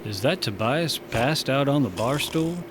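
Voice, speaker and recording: A man's voice: mid-range voice, animated, a little slow, loud music behind the voice, close to an everyday voice. A man playing a wry, easygoing space station barkeeper in a sci-fi comedy audio drama, speaking with dry understatement.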